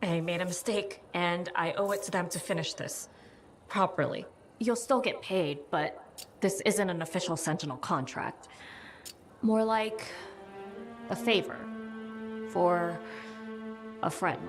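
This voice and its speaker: An actress playing a conscientious, troubled military officer in a video game, speaking earnestly and a little haltingly. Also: female voice, fairly low pitch, natural voice. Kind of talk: video game dialogue acting. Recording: music behind the voice.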